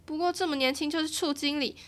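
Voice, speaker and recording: A woman voicing a sharp, sarcastic young woman who has shut herself in at home, in an audio drama. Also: high-pitched, fairly even intonation, close to an everyday voice. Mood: suspicious. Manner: sharp, quick to snap, sarcastic.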